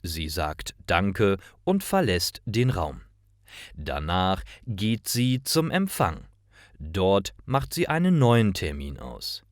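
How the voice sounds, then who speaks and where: A man narrating simple scripted stories for language learners, reading carefully in clearly phrased short sentences. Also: low voice, very animated, slow, dry recording, studio quality.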